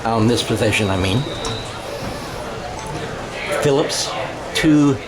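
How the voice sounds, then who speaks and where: An American man calling a football game radio-style in a conversational, halting way, often correcting himself. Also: mid-range voice, over music. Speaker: play-by-play sports commentator. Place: outdoor high school football game. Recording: crowd noise behind the voice.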